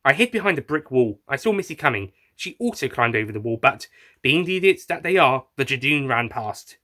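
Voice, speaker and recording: Male storyteller, mid-pitched and bright, for scripted first-person adventure narration. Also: sing-song, fairly quick. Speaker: narrator and writer of an audio story.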